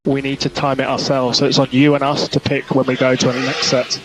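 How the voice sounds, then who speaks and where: A man's voice: medium pitch, completely flat delivery, fairly quick, over music. A man working as a race engineer, giving clipped instructions over team radio and repeating the key calls. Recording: noisy team radio transmission.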